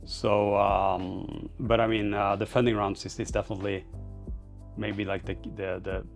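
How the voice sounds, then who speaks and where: A man speaks in a fairly low voice with a clear creak to it. He answers interview questions informally, as a company founder would, circling through his thoughts with loose, hesitant phrasing. Faint music sits behind him. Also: little pitch movement, a little slow. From Norway. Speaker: startup founder being interviewed.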